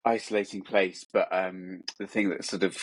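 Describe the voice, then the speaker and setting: A deep, husky man's voice with a dry, close sound. He answers interview questions slowly and loosely, leaning on "sort of" and "kind of" as he goes along over a video call. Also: little pitch movement, no room sound, rough recording. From England. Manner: hesitant, many fillers and "sort of". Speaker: guest author and illustrator.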